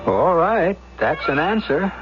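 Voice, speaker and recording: Low voiced guy narrating old radio mystery, dramatic and knowing, music behind, muffled sound. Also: sing-song, slow. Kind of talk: theatrical scripted narration.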